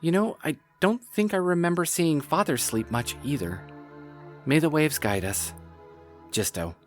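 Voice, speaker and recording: A man's voice: on the high side, lively intonation, a little slow. Man acting earnest scientist with swooping intonation. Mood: wistful, weary. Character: earnest, overworked male scientist on an alien world. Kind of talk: audio drama letter read as a log message. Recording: music under the voice.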